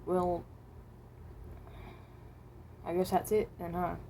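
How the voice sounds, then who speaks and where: A woman voicing a reluctant, resigned animatronic rabbit from another dimension in a fan roleplay, speaking haltingly and correcting herself. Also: on the low side, flat delivery, dark tone, a little hiss or hum. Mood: uncertain, willing. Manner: hesitant, halting, self-correcting.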